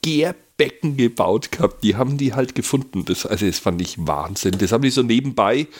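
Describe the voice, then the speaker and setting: A man with a Franconian accent co-hosting a beer podcast, telling stories in a relaxed, laid-back casual chat. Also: mid-range voice, a little fast. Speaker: podcast co-host.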